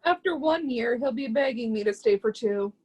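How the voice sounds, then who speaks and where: A woman's voice: fairly high pitch, lively intonation, moderate pace, darkish tone, slightly rough, low-quality recording. A woman playing a haughty, dismissive and cutting character in a stage play reading. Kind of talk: readers theatre play reading.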